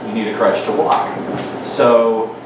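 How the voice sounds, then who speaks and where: A man speaking on a panel, feeling his way through the answer with pauses and restarts. He sounds far from the microphone in a very echoey hall. A steady noise hangs behind him. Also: on the low side. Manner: thoughtful, hesitant, with many restarts. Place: event hall.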